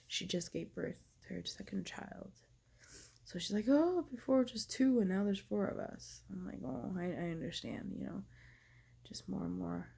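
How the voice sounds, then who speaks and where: Husky, gravelly woman with a cold. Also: medium pitch, warm tone. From Taiwan. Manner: halting, many ums and restarts, drifting into whispers. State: has a cold. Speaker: vlogger. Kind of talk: casual personal monologue.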